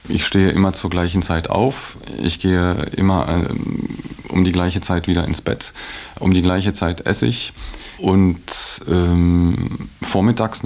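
A man giving an unscripted personal account as an interviewee, choosing his phrases in a deliberate, hesitant way. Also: deep voice, creaky, a little mellow. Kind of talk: unscripted account of daily routine.

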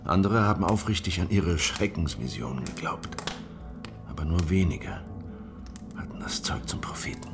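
An actor playing a weary, distrustful survivor of a crushed peasant revolt in a German radio drama, brooding and bitter. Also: male voice, low voice, big pitch swings, moderate pace, vocal fry, exaggerated voice. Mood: brooding, reminiscing. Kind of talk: German radio drama dialogue and first-person narration. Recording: music behind the voice.

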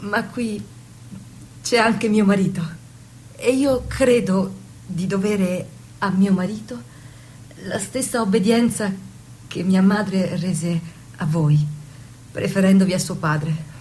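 Faintly noisy recording, actress as devoted young noble bride, formal measured delivery, stage-style radio drama, medium pitch. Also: female voice, darkish tone, close to an everyday voice. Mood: earnest, pleading.

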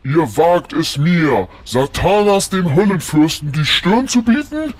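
A man's voice: on the high side, sing-song, unhurried, dry recording. A man playing a pompous demon lord of hell in a parody audio play, with grandiose, menacing villain speech that tips into whining.

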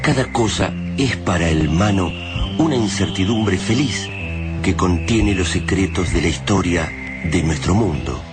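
A man's voice: sits low, animated, a little slow. A man with an Argentine accent narrating a science fiction radio drama as a grave, solemn storyteller. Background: music.

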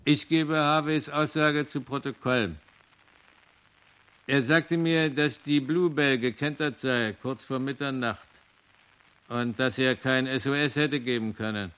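An actor playing a sober witness formally putting a statement on record in a radio play. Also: male voice, medium pitch, unhurried, fairly bright tone, clear, resonant tone, lightly acted. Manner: formal, factual.

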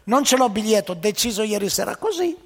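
A man's voice: high-pitched, very animated, pitched above the performer's own voice. A man doing a stubborn traveler in broken Italian. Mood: insistent. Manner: broken Italian, slow and insistent. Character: eager older male traveler, stubborn, calm. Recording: reverberant hall.

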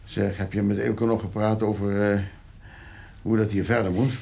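A man's voice: fairly low pitch, little pitch movement, moderate pace, creaky, mellow. An actor playing a stubborn countryman convalescing at home in a radio play, speaking in short, hesitant, grumbling replies. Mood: grumbling, resigned.

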